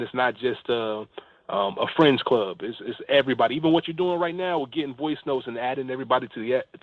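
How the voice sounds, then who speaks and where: A man's voice: medium pitch, no room sound, narrow, tinny audio. A man speaking an earnest, appreciative thank-you as a guest leaving a voice note. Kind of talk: heartfelt spoken thank-you message.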